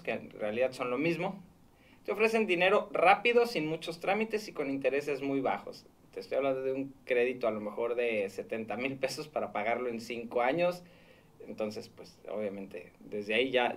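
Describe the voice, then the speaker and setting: A man's voice: mid-range voice, fairly bright tone. A man in his thirties with a Mexican accent, explaining a loan scam on a radio show from a small studio booth. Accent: Mexican Spanish. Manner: earnest, warning, explanatory. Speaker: radio show consumer-fraud reporter. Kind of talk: radio talk segment. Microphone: studio mic on boom arm.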